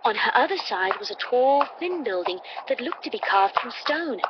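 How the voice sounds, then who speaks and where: Woman, scripted story narration, audiobook reader, muffled sound, clear background noise. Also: fairly high pitch, sing-song, moderate pace. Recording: dull, noisy playback through computer.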